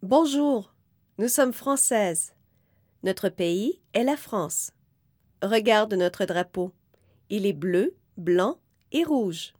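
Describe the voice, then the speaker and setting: A woman reading a children's book aloud slowly and clearly, in careful, evenly spaced phrases. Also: medium pitch, expressive, darkish tone, dry recording, studio quality. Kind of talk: slow, clear read-aloud for young learners.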